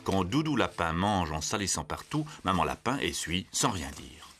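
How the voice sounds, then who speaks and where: A man narrating a scripted children's story in an expressive, storytelling way. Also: low voice, normal speed, faint music behind.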